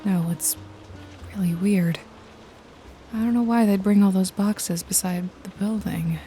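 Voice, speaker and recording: A woman's voice: mid-range voice, steady tone, a little slow, dark tone, lightly acted. A woman playing a wry, jaded detective and hired killer in a noir ASMR roleplay, nonchalant and teasing with a casually menacing edge. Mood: watchful, matter-of-fact. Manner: sardonic, foul-mouthed, nonchalant, teasing. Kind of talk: noir ASMR roleplay, intimate one-sided dialogue to listener. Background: jazzy music and rain effects.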